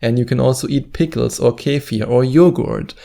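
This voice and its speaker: A man's voice: medium pitch, moderate pace, gravelly, mellow. A man with a German accent, hosting a weight-loss advice video and repeating his key points with earnest emphasis. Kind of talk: direct-to-camera advice talk.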